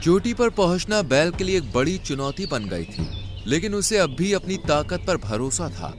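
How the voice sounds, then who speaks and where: Lively, fairly high-pitched male story narrator. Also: moderate pace, music in the background. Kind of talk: scripted children's story narration.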